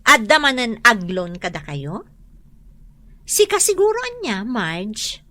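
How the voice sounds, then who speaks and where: A woman's voice: a little high, very animated, slow pace, sharp tone, exaggerated voice. A woman playing a stern, fed-up lender. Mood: scolding. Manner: firm, scolding. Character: stern grown woman fed up with unpaid loans. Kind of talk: radio drama acting.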